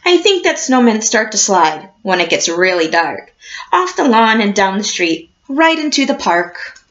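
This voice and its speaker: A woman reading a picture book aloud like a teacher, expressive and encouraging, addressing young children. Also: medium pitch, measured pace, lo-fi sound. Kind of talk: storybook read-aloud with questions to a class.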